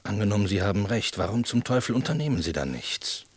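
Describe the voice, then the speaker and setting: An actor playing a haughty, corrupt gentleman, husky, scornful and demanding, in a radio play. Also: lowish voice, animated, fast, no room sound. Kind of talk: German radio play dialogue.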